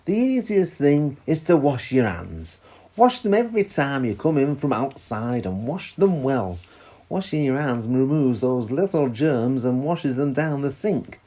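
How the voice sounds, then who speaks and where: A man playing a calm, patient dad explaining things reassuringly in a children's story. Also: medium pitch, measured pace.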